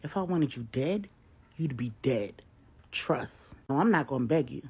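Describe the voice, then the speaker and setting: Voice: medium pitch, lively intonation, normal speed, dull audio, very noisy, close to an everyday voice. A storyteller voicing a tough, menacing man who talks in blunt, taunting slang, in a bedtime story for grown-ups. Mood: angry, threatening. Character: LJ, tough, menacing, brash man, sure of himself.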